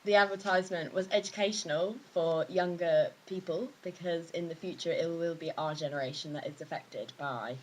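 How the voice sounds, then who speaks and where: A young woman giving her opinion as an interviewee in a casual, halting way. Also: on the low side, rough recording.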